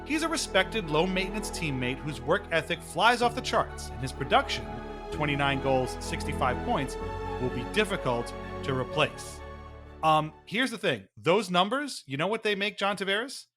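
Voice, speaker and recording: A man's voice: high voice, sing-song, moderate pace, music in the background. A man co-hosting a sports podcast in a casual, wry and amused manner. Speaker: podcast co-host. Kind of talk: casual hockey fan chat with mock-dramatic readings.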